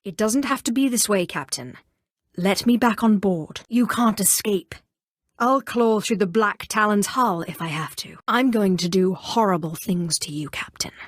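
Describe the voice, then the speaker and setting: Casting: actress as haughty female dark lord for a video game, controlled menace, commanding delivery, close dry sound. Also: medium pitch, no echo, close to an everyday voice. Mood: cold threat. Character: imperious, coolly menacing female Sith sorceress of rank. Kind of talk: scripted video game voice acting.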